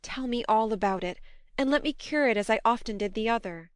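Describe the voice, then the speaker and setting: A woman voicing a blunt, affectionate young woman, a bossy elder sister in a storybook, with a brisk manner. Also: on the high side, clean tone, no echo, studio quality, close to an everyday voice. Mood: tender concern.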